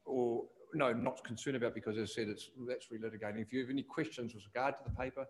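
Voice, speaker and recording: A man's voice: medium pitch, fairly even intonation, slight background noise, poor audio. New Zealand man chairing a council meeting. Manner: brisk, procedural. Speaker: committee chair.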